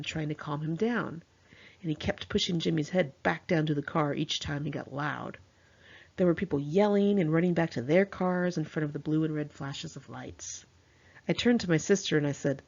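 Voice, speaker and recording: A woman's voice: on the low side, darkish tone, breathy edge, dull audio. An American woman reads her own memoir aloud in a conversational, slangy, informal way, acting out the dialogue as she goes. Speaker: author reading own memoir. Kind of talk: informal first-person memoir narration.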